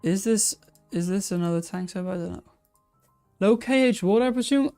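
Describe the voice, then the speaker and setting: Laid-back fish tank streamer rambling thru old setups, smooth clear voice, faint music behind, lots of "i don't know". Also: mid-range voice, brisk, darkish tone. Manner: hesitant, thinking aloud with many restarts; reads out and answers viewer comments. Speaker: aquarium channel host. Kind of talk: casual live-stream chat reacting to old videos.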